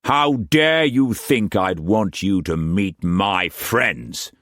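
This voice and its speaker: A man's voice: lowish voice, animated, slow pace, a bit bright, no room sound, clean studio sound. A man voicing a furious, domineering master who barks harsh commands at his household. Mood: stern, cold.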